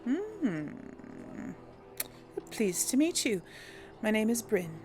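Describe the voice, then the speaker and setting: A woman with a creaky voice giving casual, easygoing game commentary, musing to herself as she plays. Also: on the high side, big pitch swings, slow pace, a little mellow, soft background music. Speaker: let's play host. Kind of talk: casual game commentary with light role play. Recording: faint background game ambience.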